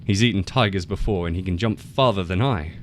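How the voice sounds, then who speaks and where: A man's voice: deep voice, a little fast, lightly acted. A man voicing an old, hungry, gullible male tiger in a folktale, earnest and grumbling. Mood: wary. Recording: noticeable background noise.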